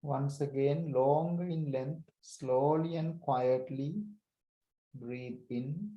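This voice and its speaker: A man leading a guided breathing meditation as a teacher, speaking calmly and deliberately in short phrases with pauses. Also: mid-range voice, highs cut off. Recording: roomy echo.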